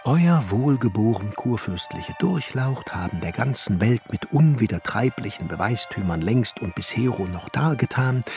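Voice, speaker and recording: An actor playing a brooding, devoted alchemist and glassmaker in a German radio play, musing in formal, ornate language with a husky, gravelly voice. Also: male voice, lowish voice.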